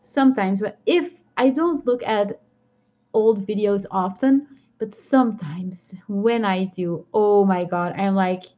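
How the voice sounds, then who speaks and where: A woman's voice: mid-range voice, slow. A woman with a strong Belgian accent chatting casually on a live stream, rambling and laughing often as she answers viewer comments. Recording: dull lo-fi stream audio.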